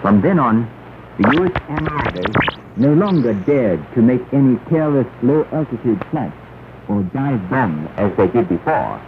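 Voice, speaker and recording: Male announcer through very noisy narrow shortwave. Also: sing-song. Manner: formal, emphatic, deliberate phrasing. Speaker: radio news announcer. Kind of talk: 1960s propaganda radio news reading. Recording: noisy 1968 shortwave radio, narrow band, rumble.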